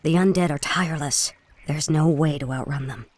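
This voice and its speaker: A woman's voice: sits low, normal speed, a little mellow, no room sound. An actress playing a dutiful, urgent female night elf huntress in a video game. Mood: urgent warning. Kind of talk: video game cutscene acting.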